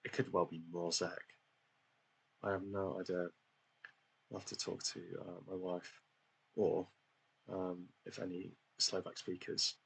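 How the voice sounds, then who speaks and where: Low gravelly man chatting over gameplay. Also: rough recording. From England. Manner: chatty, hesitant, many ums, self-deprecating. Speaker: gaming channel host. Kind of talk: casual game commentary.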